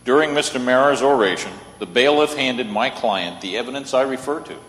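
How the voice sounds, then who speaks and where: A man playing a patient, gentle defense lawyer in an old-time radio play, recorded in an echoey hall. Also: mid-range voice, brisk, natural voice. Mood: hesitant, earnest.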